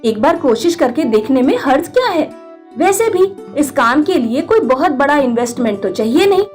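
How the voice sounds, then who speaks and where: A woman's voice: high-pitched, very animated, moderate pace, soft background music. A woman voicing a practical, encouraging village wife in a storybook tale. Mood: persuasive.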